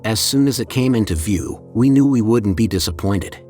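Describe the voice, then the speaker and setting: A man narrating a scripted first-person horror story in a measured, deliberate way, as a storyteller. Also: on the low side, lively intonation, a little mellow. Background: background music.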